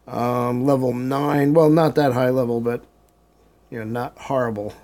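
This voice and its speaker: A man getting over a cold, hoarse and often clearing his throat, giving casual commentary while streaming a game. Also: mid-range voice, steady tone, slow pace, a little mellow. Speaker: gaming live streamer.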